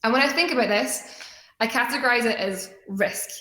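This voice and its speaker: A woman's voice: fairly high pitch, normal speed, sharp tone. Young woman speaking in an echoey room. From Scotland. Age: young adult. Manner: earnest, conversational storytelling. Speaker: student organization leader giving a keynote talk. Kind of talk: motivational personal-story speech.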